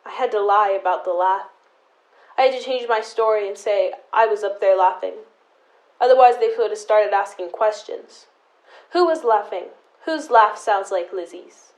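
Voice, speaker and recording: A young woman playing a stubborn, embittered, protective sister in an audio drama, with deliberate, resentful delivery. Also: mid-range voice, a little mellow, lightly acted, poor audio. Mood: brooding. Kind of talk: high school audio drama acting.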